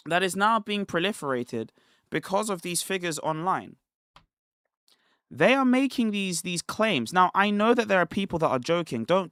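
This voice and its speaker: A man originally from Nigeria hosts a casual livestream commentary, pushing his points with rhetorical questions and short laughs. His voice is bright and forward, and it sits close and dry on the mic with no room sound. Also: sits high, no room sound. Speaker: livestream host.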